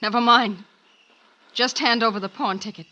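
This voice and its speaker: An actress playing a desperate, scheming femme fatale in a 1940s radio drama, urgent and pleading. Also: female voice, on the high side, fairly even intonation, normal speed, bright, forceful tone. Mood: threatening. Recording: dull vintage radio recording.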